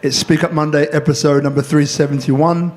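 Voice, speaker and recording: A man's voice: a little high, fairly even intonation, brisk, a little mellow. Polite man moderating a panel. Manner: polite, directing guests. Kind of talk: hosting a panel.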